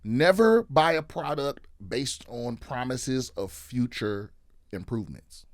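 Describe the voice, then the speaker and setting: A man's voice: mid-range voice, lively intonation, takes it slowly, no echo, clean studio sound. A man in casual podcast chat, ranting and swearing, with many restarts. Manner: ranting, profane, repeats and restarts. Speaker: comedian guest.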